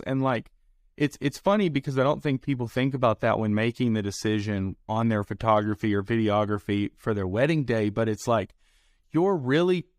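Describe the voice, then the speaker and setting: A man with a Southern accent hosting a podcast, talking in a relaxed, rambling way with lots of "like" and "it's like". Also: medium pitch, no echo, clean studio sound. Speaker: podcast host. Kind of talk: casual podcast chat.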